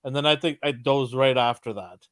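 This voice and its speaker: A man's voice: medium pitch, normal speed, dry recording, clean studio sound. A man co-hosting a podcast, chatting casually about films with joking, coarse banter. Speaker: podcast co-host. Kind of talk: casual film discussion, chat.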